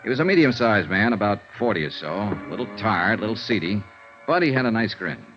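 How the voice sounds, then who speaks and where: An American actor playing a brisk, wry insurance investigator in clipped, vintage radio-drama style. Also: male voice, on the low side, sing-song. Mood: dry narration. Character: brisk, assured male insurance investigator, wry and hard-boiled. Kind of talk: 1950s radio acting, first-person detective narration and dialogue. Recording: dull vintage radio recording, music behind.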